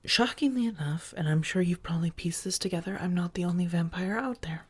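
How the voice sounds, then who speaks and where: Woman acting a low, soft-spoken, protective vampire roommate. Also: normal speed. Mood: tender, pleading, then grave, confiding. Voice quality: soft, breathy, often dropping to a whisper. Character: worried, protective female vampire roommate, wealthy and affectionate. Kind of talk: soft-spoken ASMR roleplay.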